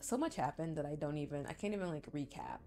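A woman's voice: low-pitched, animated, fast talker, warm tone, faint music behind. A young woman chatting casually to her viewers, sounding congested from the flu. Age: young adult. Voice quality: congested, stuffy-nosed. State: sick with flu. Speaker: reaction channel host. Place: small bedroom, indoors.

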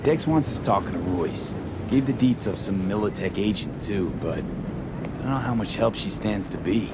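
A man's voice: on the low side, expressive, normal speed, over loud music, close to an everyday voice. An actor playing a terse, cocky young male mercenary in a video game, talking in clipped street slang. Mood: businesslike. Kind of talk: video game dialogue acting.

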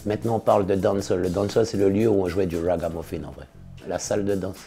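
A man in his fifties, a singer and DJ, answering interview questions in a relaxed, casual way, full of slangy shout-outs. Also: low-pitched, fast talker, over loud music. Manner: relaxed, laid-back, with slangy big-ups. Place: studio in front of blue backdrop.

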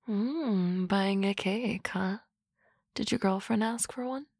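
A woman voicing a sardonic, teasing office-lady boss in an ASMR roleplay, with dry banter and soft whispery asides on a close mic. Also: mid-range voice, takes it slowly, creaky, dry recording.

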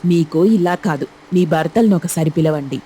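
A woman's voice: fairly low pitch, normal speed, dark tone, breathy edge. Woman playing an exasperated, scolding mother-in-law. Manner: scolding, complaining. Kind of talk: dramatized moral story cartoon dialogue.

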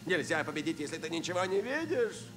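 A scheming, high-voiced cartoon villain. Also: male voice, big pitch swings, fairly quick. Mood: gloating. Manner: theatrical, gloating, imperious. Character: scheming, gloating older male villain scientist. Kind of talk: dubbed cartoon acting. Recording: music behind the voice.

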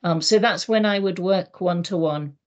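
A woman's voice: fairly low pitch, lo-fi sound. English woman speaking on a video call. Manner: measured, thoughtful, frequent ums. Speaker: EAL teacher. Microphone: laptop mic on a video call.

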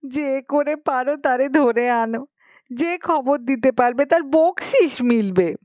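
A woman's voice: high-pitched, takes it slowly, darkish tone, pitched above the performer's own voice. A woman voicing a tearful, aggrieved village wife in a storybook reading, plaintive and complaining in rustic colloquial speech. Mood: pleading. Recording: muffled lo-fi, roomy echo.

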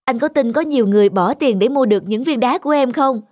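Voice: sits high, fast, a little mellow, close to an everyday voice. A performer voicing an enthusiastic little boy in a cartoon, with an eager, earnest, childlike manner. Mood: eager, proud. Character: enthusiastic little boy who collects stones. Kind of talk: Vietnamese cartoon voice acting.

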